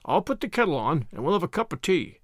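Storybook role: brisk, outspoken old man, played by a male narrator, brusque yet kindly, very animated. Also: fairly high pitch, no room sound, studio quality. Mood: pleased. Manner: brisk, blunt, folksy.

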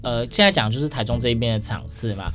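A man's voice: mid-range voice, expressive, fast talker, a bit bright. Male radio host chatting, Taiwanese Mandarin accent. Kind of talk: casual interview chat. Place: radio studio.

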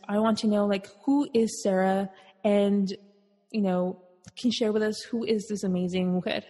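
A woman's voice: mid-range voice, darkish tone. A woman podcast host reading a guest introduction and interviewing, mixing in Spanish words. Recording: long reverb.

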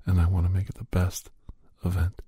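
A middle-aged man hosting a whispered ASMR roleplay, chatting softly and gently teasing the listener as a caregiver. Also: sits low, monotone, brisk, breathy edge, no room sound. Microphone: close microphone.

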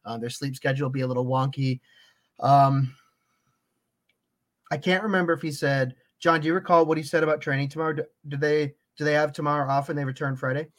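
A man's voice: a little high, level tone, fast, fairly bright tone. A man hosting a casual soccer podcast in an upbeat, friendly manner, reading out chat comments. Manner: upbeat, friendly, frequent fillers. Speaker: podcast host.

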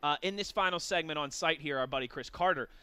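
A man's voice: on the high side, fast talker, bright, forceful tone, clear, resonant tone, no room sound. A man hosting a casual sports talk show, upbeat and joking as he reads listener tweets. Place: outdoors at a stadium.

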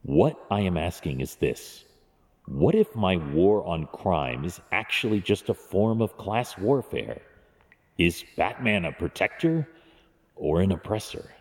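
Man acting brooding masked crime fighter, very deep, grave, measured, audio drama, long reverb. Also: lively intonation, darkish tone. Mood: troubled, searching. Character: brooding adult male masked vigilante, grave and driven. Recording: long cavernous hall reverb.

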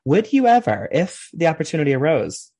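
A man's voice: on the high side, very animated, a little fast, creaky, mellow, dry recording, clean studio sound. A man hosting a podcast, asking enthusiastic, rambling interview questions with small restarts. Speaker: podcast host.

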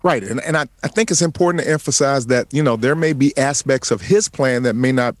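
A man's voice: medium pitch, a little fast, no room sound. A man co-hosting, commenting thoughtfully with many fillers and hedges. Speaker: co-host. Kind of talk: casual discussion.

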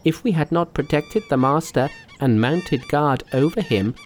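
A man's voice: a little high, a little slow, a little hiss or hum, pitched above the performer's own voice, natural voice. A man voicing a clever, cheerful monkey from a storybook, confident and emphatic in his reassurance. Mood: earnest, uplifted. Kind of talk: storybook character voice.

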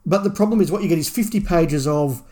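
A man's voice: a little high, fast, warm tone. A man co-hosting a podcast, discussing a book casually in an analytical, opinionated way with emphatic repetition. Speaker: podcast co-host.

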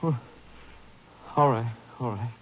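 An actor playing a frightened, guilty cattle rustler in a 1950s western radio drama, stammering, pleading and protesting. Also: male voice, medium pitch, slow, lightly acted. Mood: panicked, confessing. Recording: noisy muffled old radio recording.

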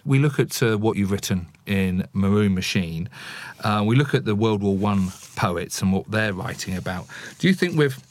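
A man with a fairly low voice hosts a podcast. He presents and interviews in a measured hosting style. The sound is dry, with no room around it. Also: lively intonation, no room sound.

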